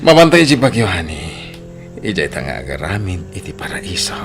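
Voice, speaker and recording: A man's voice: deep voice, big pitch swings, normal speed, music in the background. A man with an Ilocano accent playing an unfaithful husband in an audio drama. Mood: scheming, carefree. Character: unfaithful husband running off with his mistress. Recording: clear background noise and clicks.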